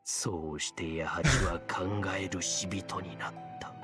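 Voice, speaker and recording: Man acting a deep, solemn old-style ghost storyteller. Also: little pitch movement, natural voice. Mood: grave, eerie. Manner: measured storyteller cadence, voice giving out, shifting tone. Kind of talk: dramatic Japanese visual novel acting. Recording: game audio over background music.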